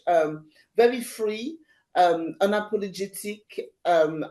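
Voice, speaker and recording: A woman's voice: fairly low pitch, darkish tone. A woman in her fifties, born in Haiti and raised in France, chatting animatedly as an interview guest, laughing often and restarting her sentences. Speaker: guest, agency founder. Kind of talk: casual interview chat.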